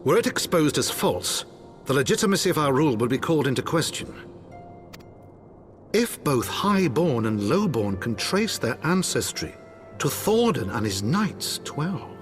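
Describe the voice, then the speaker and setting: A man's voice: on the high side, big pitch swings, a little slow. Fantasy game nobleman: proper, cautioning political counsellor, formal diction, faint music behind. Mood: measured.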